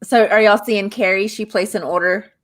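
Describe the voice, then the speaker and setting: A woman's voice: medium pitch, steady tone, moderate pace. A woman co-hosting a casual web chat show, telling a funny story and laughing through it. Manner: chatty, laughs through her stories. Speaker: co-host.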